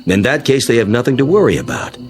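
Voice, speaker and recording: A man playing a menacing government agent in a radio drama, with measured, mock-courteous speech. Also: mid-range voice, very animated, music in the background, lightly acted. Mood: smug menace. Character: menacing, coolly polite armed male government agent.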